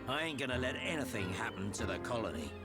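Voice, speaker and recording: An actor playing a rough, blunt male veteran fighter who talks in a gruff, colloquial way. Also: medium pitch, very animated, fast, loud music behind the voice, lightly acted.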